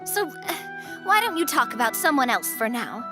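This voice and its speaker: A woman playing an earnest, friendly young woman in a video game, chatty and expressive. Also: high voice, moderate pace, music in the background. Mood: flustered, chatty.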